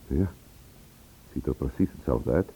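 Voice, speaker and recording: A man's voice: a bass voice, animated, measured pace, mellow, breathy edge, full character voice, poor audio. An actor playing a troubled, insistent man in a radio play, questioning with emphasis. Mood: agitated, puzzled. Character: troubled, insistent man questioned about his murdered brother.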